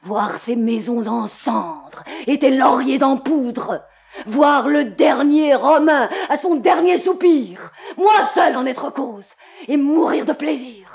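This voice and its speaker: A woman's voice: high-pitched, lively intonation, slow, gravelly, a little mellow, full character voice. An actress playing a grief-stricken young Roman woman cursing her homeland, in vehement classical French verse declamation. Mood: venomous, anguished.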